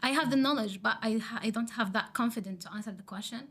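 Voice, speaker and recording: A woman whose first language is Arabic, a student teacher answering podcast questions haltingly. She often restarts and searches for words. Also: on the high side, fairly bright tone, slightly rough.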